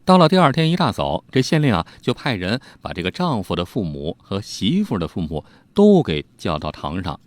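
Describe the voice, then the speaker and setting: A man telling an old crime tale in an animated, conversational storytelling style, with spoken asides. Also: lowish voice, a little fast, hardly any pauses. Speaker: storyteller host.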